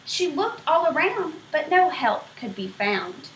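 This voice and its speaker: A woman reading a picture book aloud to children in an expressive way, stopping to ask them questions. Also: high-pitched, slight background noise. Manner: expressive, pausing to quiz young listeners. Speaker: storybook reader. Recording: roomy echo.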